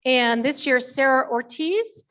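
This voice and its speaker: A woman's voice: high voice, animated, measured pace, fairly bright tone. A woman presenting at a members meeting in an informal, conversational way, with folksy asides to the audience. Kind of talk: informal spoken committee report to an audience.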